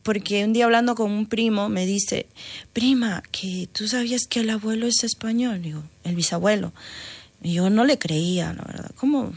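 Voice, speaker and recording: A woman's voice: medium pitch, normal speed. A middle-aged woman with a Peruvian Spanish accent speaks as a guest on a radio program. Her talk is hesitant and full of restarts, and she laughs readily as she answers. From Peru. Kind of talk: casual interview talk.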